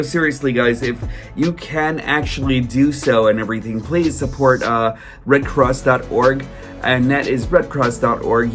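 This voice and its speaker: Hoarse guy rambling on in a casual vlog, lots of ums and restarts, soft music in the back. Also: medium pitch. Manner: rambling, filler-heavy, many restarts. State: hoarse from a cold. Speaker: toy collector vlogger. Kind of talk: casual solo vlog chat.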